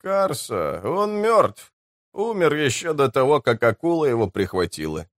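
A wry, friendly rogue, played by a male narrator in a fantasy audiobook. His voice is pitched up and animated, full of ironic asides. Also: a little slow, dry recording, studio quality. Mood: wry warning.

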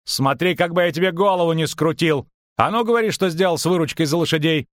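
A man's voice: a little high, animated, brisk, no room sound, studio quality, voice pushed up, close to an everyday voice. A man voicing an indignant, scolding male halfling merchant in a fantasy audiobook. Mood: furious, blustering. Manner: loud, scolding, exclamatory. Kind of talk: audiobook character acting.

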